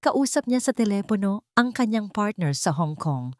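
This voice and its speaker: A woman's voice: medium pitch, sing-song, moderate pace, darkish tone, no room sound, studio quality, natural voice. A woman voicing a loyal, discreet male investigator in an audio drama, matter-of-fact and deferential. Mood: businesslike.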